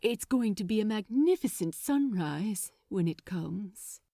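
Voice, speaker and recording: A woman's voice: medium pitch, lively intonation, a little slow, creaky, warm tone, no room sound, clean studio sound. Woman as a prim, bashful male angel. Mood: polite, awkward. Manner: prim, mild, hesitant. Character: gentle, polite male angel, bashful and mild. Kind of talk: fan fiction audiobook dialogue.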